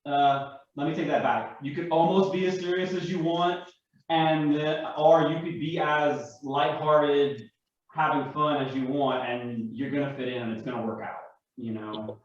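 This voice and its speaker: A man explaining things casually in a halting, wordy way, often correcting himself as he goes. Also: fairly high pitch, fairly even intonation. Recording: echoey room, distant mic, dull sound.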